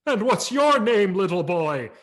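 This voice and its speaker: A man voicing a booming, jolly department-store Santa with a hearty laugh. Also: sing-song, a little slow.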